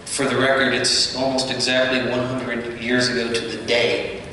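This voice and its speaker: A man's voice: mid-range voice, completely flat delivery, moderate pace. A man giving a conversational explanation, as if on location. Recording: echoey hall playback, distant, noisy.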